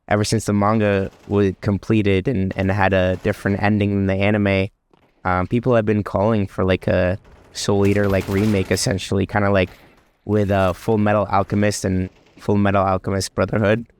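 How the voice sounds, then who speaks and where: Young male vlogger, stuffed-up nose from a cold, lowish voice, loose rambling delivery, faint hiss behind. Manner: rambling, many ums and restarts. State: congested from a cold. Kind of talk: casual anime talk vlog.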